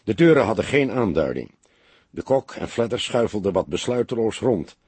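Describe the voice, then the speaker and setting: A man narrating an audiobook story from a script in a measured, expressive way. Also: lowish voice, husky, dry recording.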